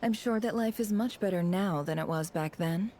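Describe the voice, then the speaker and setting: Lady playing a quiet reserved game leader, soft dark tone, gentle, faint noise behind. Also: lowish voice, normal speed, natural voice. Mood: reassuring. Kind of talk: video game voice acting.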